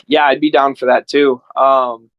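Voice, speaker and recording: A man's voice: medium pitch, flat delivery, measured pace, no echo, dull audio. A man chatting casually as a podcast guest, telling stories in a rambling, enthusiastic way. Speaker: podcast guest and amateur voice actor. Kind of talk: casual chat.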